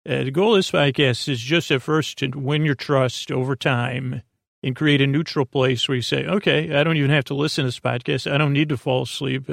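A man with a creaky voice hosting a bedtime podcast, rambling in a halting, soothing way full of tangents and trailing thoughts. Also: medium pitch, fairly even intonation, no echo, studio quality. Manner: soothing, lulling. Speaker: podcast host.

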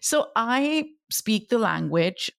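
A woman's voice: fairly high pitch, sing-song, takes it slowly, vocal fry, no room sound, clean studio sound. A middle-aged woman with a Pakistani accent and Pashto as her first language, talking reflectively about her own life as a podcast guest. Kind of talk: reflective personal interview talk.

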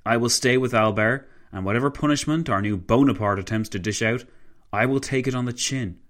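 A man's voice: mid-range voice, a little fast, fairly bright tone, lightly acted. Man voicing a resolute, loyal French politician. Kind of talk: dramatised historical narration.

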